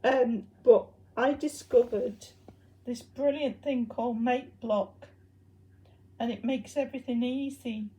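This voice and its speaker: A woman presenting a hobby project in an informal, unscripted demonstration, explaining things in a hesitant, halting way. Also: on the high side, steady tone, warm tone, poor audio.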